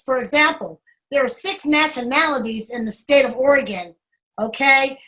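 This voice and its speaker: A woman, a guest on a talk panel, speaking hesitantly with many pauses and ums. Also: fairly high pitch, fairly bright tone, low-quality recording. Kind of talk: public affairs panel talk.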